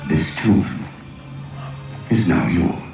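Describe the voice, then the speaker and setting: An actor playing an ancient, scornful alien warrior villain in a video game, speaking in a grandiose, theatrical, contemptuous manner. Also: male voice, extremely slow, distant mic. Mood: menacing disdain. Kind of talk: video game cutscene acting. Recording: roomy echo, orchestral music behind.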